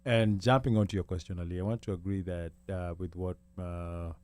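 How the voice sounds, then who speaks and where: A man's voice: sits low, steady tone, a little fast, a little mellow. A man talking as a panelist on a studio show, rambling and chuckling, mixing Swahili into English. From Kenya. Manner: rambling, laughs between phrases, mixes Swahili. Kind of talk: casual panel chat.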